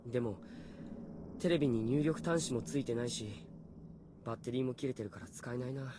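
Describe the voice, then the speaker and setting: An actor playing a practical, level-headed high school boy in a horror game. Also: very fast, natural voice. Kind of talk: Japanese game voice acting. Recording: noisy, quiet game playback.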